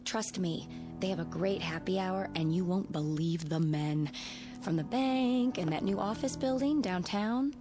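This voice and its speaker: A woman's voice: fairly low pitch, unhurried, music in the background, lightly acted. An actress playing a breezy, pushy woman teacher nudging her friend in a radio drama, casual and wry in delivery. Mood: wry, coaxing.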